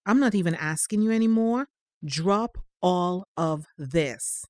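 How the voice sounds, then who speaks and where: A woman's voice: lowish voice, animated, a little slow, a little mellow, dry recording, clean studio sound. A woman playing a firm, protective mother of a teenage boy, pointed and motherly, in a naturalistic audio drama. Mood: stern, worried.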